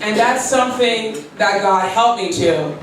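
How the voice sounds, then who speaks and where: A woman's voice: medium pitch, a little slow, slight background noise. A woman in her forties, from Liberia, giving a keynote speech as a personal story with an earnest, storytelling manner. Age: 40s. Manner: earnest, storytelling, with quoted prayers and light laughs. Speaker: keynote speaker. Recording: very echoey hall, voice from far off.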